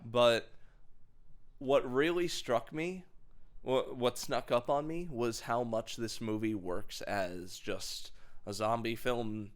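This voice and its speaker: A man on a podcast panel giving casual, emphatic film opinions in halting, informal phrasing. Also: mid-range voice. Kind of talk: casual film review chat.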